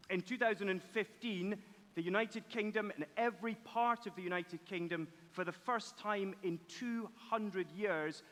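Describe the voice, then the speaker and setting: A man from Scotland with a Scottish accent gives a prepared talk to a church audience as a guest speaker, in a measured, earnest and emphatic manner. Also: on the high side, fairly bright tone. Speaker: charity director and guest speaker. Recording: echoey hall, long reverb.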